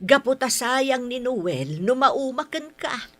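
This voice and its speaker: A woman's voice: high voice, big pitch swings, slow pace, bright, forceful tone, full character voice. An actress playing a patient, middle-aged Ilocano mother in a radio drama, admonishing her grown daughter in a motherly way. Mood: gently chiding. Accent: Ilocano, northern Philippines.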